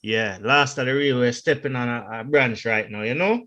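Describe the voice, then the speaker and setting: A man's voice: medium pitch, bright, forceful tone, highs cut off. A man hosting a podcast in a loose, upbeat, casual way. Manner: upbeat, loose, drawn-out hype phrasing. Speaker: podcast host.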